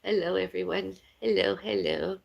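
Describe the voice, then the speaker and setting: A woman with a raspy voice, rambling casually on a live stream from her home kitchen. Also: lowish voice, fairly even intonation, normal speed, muffled recording. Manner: rambling, chatty, calls out to someone in another room. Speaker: vlogger.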